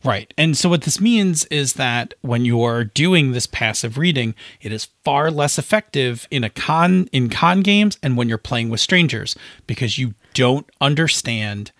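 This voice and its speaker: A man's voice: mid-range voice, lively intonation, a little slow, few pauses, fairly bright tone, no room sound, clean studio sound. A man co-hosting a podcast, explaining things in casual chat and emphatically tagging his points with little check-in questions. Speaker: podcast co-host. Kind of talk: casual explanatory chat about tabletop gaming.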